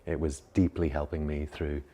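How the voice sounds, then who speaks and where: Belfast guy, really deep voice, slow calm meditation teacher talk. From Northern Ireland. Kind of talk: reflective personal account.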